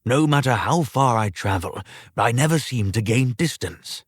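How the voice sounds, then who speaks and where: A man's voice: mid-range voice, expressive, moderate pace, no room sound, clean studio sound, close to an everyday voice. A man playing a bitter, bewildered old man in a storybook reading, with formal, old-fashioned speech. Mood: frustrated.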